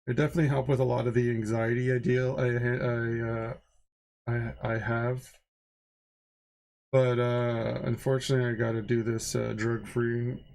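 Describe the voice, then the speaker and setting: A man's voice: mid-range voice, little pitch movement, normal speed, creaky, a little mellow. A man with a stuffed-up, nasal voice chatting casually and rambling while he draws on a livestream, swearing now and then. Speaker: art streamer.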